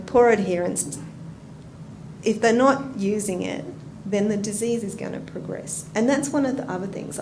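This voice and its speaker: Chatty Australian woman presenting in a hall. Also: medium pitch. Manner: chatty, anecdotal, asks the audience questions. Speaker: nurse educator presenting. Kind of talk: conference presentation. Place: conference room. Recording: roomy hall with background noise.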